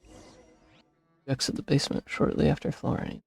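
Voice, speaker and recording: A woman with a creaky, husky voice, casually reacting to a video game as a streamer. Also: a little slow, darkish tone, dry recording, faint music behind.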